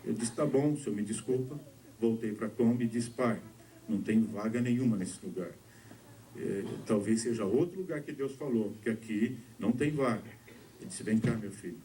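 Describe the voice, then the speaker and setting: A man's voice: moderate pace, dark tone, a little hiss or hum. A Brazilian man preaching, telling his testimony to a congregation and voicing the people in his story. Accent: Brazilian Portuguese. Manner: storytelling, acting out dialogue with shifts of pitch. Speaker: pastor. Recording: distant mic with faint ambience.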